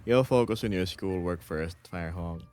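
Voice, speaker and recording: A man's voice: deep voice, normal speed, darkish tone, faint music behind. A man in his thirties streaming from home, chatting casually and reading chat messages aloud with frequent laughs.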